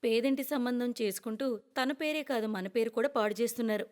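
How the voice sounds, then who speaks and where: A woman's voice: fairly high pitch, a little fast, natural voice. A woman voicing a scheming, snobbish young daughter-in-law in a cartoon story, complaining and insistent. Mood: indignant. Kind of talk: cartoon moral story acting.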